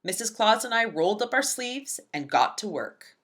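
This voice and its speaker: Female storyteller for kids' picture books: lively, swooping delivery, bright forward tone, emphatic phrasing. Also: medium pitch, moderate pace. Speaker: read-aloud storyteller. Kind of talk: expressive children's picture book read-aloud.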